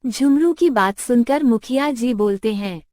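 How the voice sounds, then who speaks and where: A woman's voice: on the high side, a little slow, dark tone, no echo, studio quality. A woman reading scripted narration for a children's cartoon story. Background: music.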